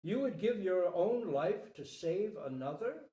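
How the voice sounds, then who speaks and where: A man playing a proud, regal human king in a storybook parable, declamatory and drawn-out, humbled by what he hears. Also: sits high, sing-song, mellow, distant mic. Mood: astonished. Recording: roomy, echoing live recording.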